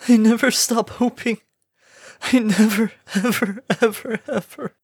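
A man's voice: sits high, slow pace, husky, dry recording, studio quality, pitched above the performer's own voice, full character voice. A man voicing a frightened, tearful young female pony in a storybook reading, with a trembling, bewildered delivery. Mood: sobbing. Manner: trembling, bewildered, breaking into sobs.

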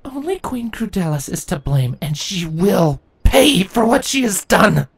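A man voicing a young grieving pony queen in a storybook reading, pitching his voice high and strained. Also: sing-song, unhurried, gravelly, slightly rough, full character voice. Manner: tired then bitter, breaks into angry shouts.

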